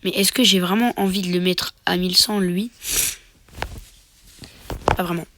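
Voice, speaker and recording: A man's voice: high-pitched, fairly even intonation, a little slow, noisy background. A man with a cold, sniffling as he casually commentates his own match into a phone mic, muttering half-whispered asides and mock boasts. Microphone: phone microphone.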